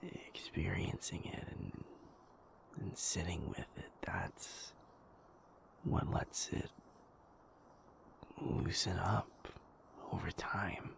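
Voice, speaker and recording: A man playing a gentle, patient werewolf in an intimate audio roleplay, soothing and reassuring in a halting, breathy, creaky whisper. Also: sits low, exaggerated voice. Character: gentle, patient male werewolf comforting a sleepless partner.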